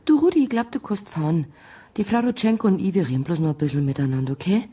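An actress playing a caring, practical Bavarian policewoman in a radio drama, with a husky voice and a coaxing manner. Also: lowish voice, lively intonation, fast talker, mellow. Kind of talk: naturalistic radio drama acting.

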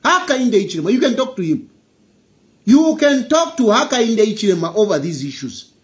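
A man's voice: sits high, big pitch swings, normal speed, dull audio. A young Zambian man with a Zambian accent giving an emphatic political commentary indoors, repeating his key phrases and slipping into a local language. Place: indoor room.

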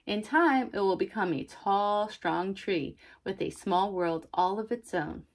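A young woman reading a children's picture book aloud in an expressive way, as if to a small child. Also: medium pitch, a little slow, slight background noise. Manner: expressive, child-directed, asking listeners questions.

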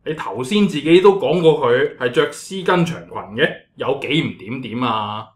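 A man's voice: medium pitch, lively intonation, moderate pace, close to an everyday voice. A man playing an exasperated, no-nonsense church leader in a comedic radio drama, blunt and colloquial, with a Hong Kong Cantonese accent.

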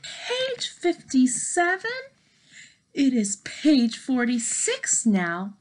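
A young woman reading a children's picture book aloud in an expressive, dramatic and playful manner. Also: high voice, very slow. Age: young adult. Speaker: storybook reader. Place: small bedroom, indoors.

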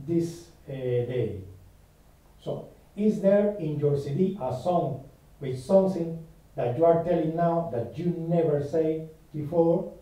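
A man's voice: fairly high pitch, heavy reverb, recorded from a distance, dull audio. A man whose first language is Spanish, asking interview questions with careful, non-native phrasing.

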